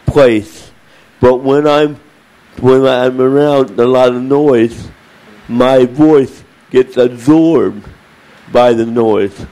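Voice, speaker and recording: Man with a booming, mellow voice. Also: poor audio. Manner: repeats words and restarts phrases. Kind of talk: group discussion.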